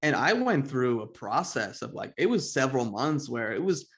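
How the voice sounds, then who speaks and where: A man's voice: medium pitch, sing-song, normal speed. A man in his forties hosting a podcast interview, talking candidly and rambling with lots of fillers and restarts. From United States. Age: 40s. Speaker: podcast host.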